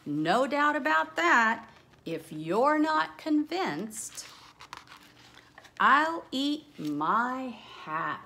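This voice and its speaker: A woman's voice: high voice, big pitch swings, extremely slow, creaky, bright, forceful tone. A woman teacher reading a rhyming children's book aloud, with casual explaining asides spoken directly to young listeners.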